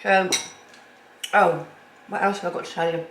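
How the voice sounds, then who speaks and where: A woman's voice: fairly low pitch, fairly even intonation, normal speed. A woman with a cold, chatting casually in her kitchen for a sewing vlog, rambling with lots of ums. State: bunged up with a cold. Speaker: sewing vlogger. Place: home kitchen. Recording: echoey kitchen with faint background noise.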